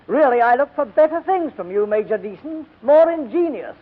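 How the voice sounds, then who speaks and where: High-voiced, defiant young prisoner. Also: male voice, animated, normal speed. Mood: sardonic. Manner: theatrical, sardonic, defiant. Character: defiant, rash young male prisoner, bitterly mocking. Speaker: actor in a radio drama. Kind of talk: 1940s radio drama acting. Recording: tinny, phone-like old radio recording.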